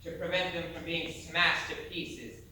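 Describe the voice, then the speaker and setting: A man acting as an emigrant storyteller, narrating a stage play in a measured, folksy way. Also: fairly high pitch, flat delivery, bright, forceful tone. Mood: explanatory. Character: male Irish emigrant storyteller recalling a perilous voyage. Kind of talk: dramatic scripted stage narration. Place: theatre stage, recorded from a distance. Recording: very echoey hall, distant mic, faint noise.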